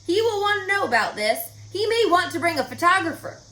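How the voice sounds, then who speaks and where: A woman voicing a proud, excited male farmer in a storybook, boastful and emphatic as he gives orders. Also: very high voice, brisk, echoey room, voice pushed up, full character voice. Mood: excited, admiring.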